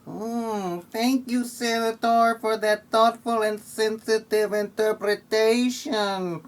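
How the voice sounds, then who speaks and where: A woman playing a smug television news anchor in a comedy audio play, with a stilted, deliberate manner. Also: fairly high pitch, steady tone. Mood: smugly approving.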